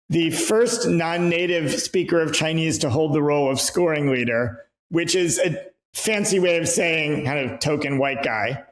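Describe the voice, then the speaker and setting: A man being interviewed as an educator, telling stories in a thoughtful, measured way. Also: on the high side, reverberant, poor audio. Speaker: guest, educator. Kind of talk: reflective interview talk.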